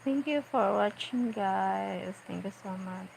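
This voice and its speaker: A woman in her forties with a Filipino accent, chatting casually on a livestream as she reads viewer comments aloud and answers them in a playful, unhurried way. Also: medium pitch, gravelly, a little mellow, slight background noise, rough recording. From Philippines. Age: 40s. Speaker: vlogger on a late-night livestream.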